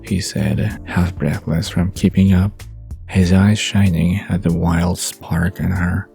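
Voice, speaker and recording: Deep, gravelly, slow male storyteller. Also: steady tone, warm tone, soft background music. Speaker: narrator. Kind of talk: scripted story narration for bedtime audio drama.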